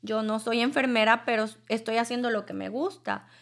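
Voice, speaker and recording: A young woman from El Salvador, speaking softly and reflectively as a podcast guest telling her life story. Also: fairly high pitch, moderate pace, gravelly. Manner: soft-spoken, a little nervous, reflective. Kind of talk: personal storytelling in interview.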